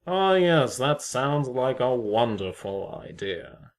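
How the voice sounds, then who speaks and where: A man's voice: medium pitch, very animated, slow pace, creaky, heavily acted. A man playing a sly, eccentric neighbour in an amateur audio drama, formal, theatrical and unruffled. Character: eccentric, smugly courteous male neighbour, sly troublemaker.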